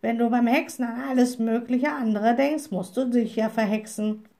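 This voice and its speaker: A woman voicing a blunt, wise male talking raven from a storybook, reproachful and lecturing, with a croaky, screechy delivery. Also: on the high side, steady tone, moderate pace, mellow, lightly acted. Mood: scolding. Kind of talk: storybook character voice.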